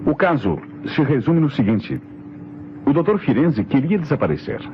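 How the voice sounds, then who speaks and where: A Brazilian man playing a methodical, authoritative police inspector in an old radio mystery, explaining in a deliberate, declamatory way. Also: on the high side, big pitch swings. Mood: assured, expository. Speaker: radio drama actor. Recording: dull, noisy vintage radio recording.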